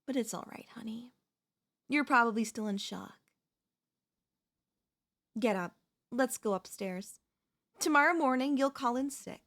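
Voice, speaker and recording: A woman playing a possessive, manipulative wife in an ASMR audio roleplay, speaking to the listener in a sweetly condescending, deliberate, theatrical way. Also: a little high, a bit bright. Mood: coaxing, veiled threat. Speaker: voice actress.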